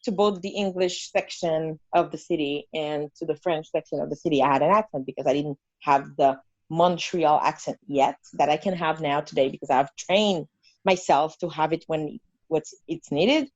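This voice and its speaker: A woman from Haiti with a Haitian accent, talking as an interview guest in an animated way with fillers and restarts. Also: low-pitched, moderate pace, dry recording, dull audio. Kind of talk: conversational interview.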